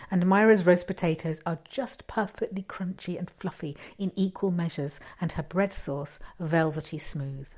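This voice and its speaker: A woman reading a first-person short story expressively, with dramatic pauses and hushed asides. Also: deep voice.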